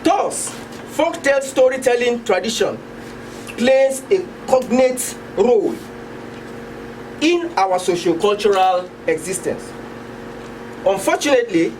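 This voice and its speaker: Nigerian woman presenting, distant and noisy. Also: mid-range voice. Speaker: project presenter. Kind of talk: scripted introduction speech. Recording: noisy room, distant microphone.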